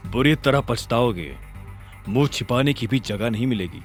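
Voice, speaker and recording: A big, swaggering brawler from an audio drama, acted out by a male narrator. His voice drops low and gruff, boastful yet good-humoured, with music running underneath. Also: animated, normal speed, natural voice. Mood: boastful challenge. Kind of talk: Hindi audio drama character voice.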